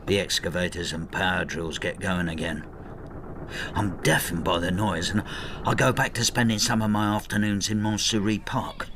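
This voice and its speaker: A man's voice: low voice, fairly even intonation, normal speed, background noise, close to an everyday voice. An actor playing an embittered, spiteful old husband who narrates his marital war in a brooding, intimate radio drama style. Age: older.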